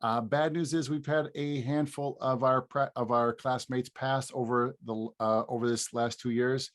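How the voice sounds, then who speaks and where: A man's voice: mid-range voice, steady tone, moderate pace. Man in his fifties hosting a podcast. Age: 50s. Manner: rambling, restarts phrases, laughs easily. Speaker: podcast host. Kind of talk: casual interview chat.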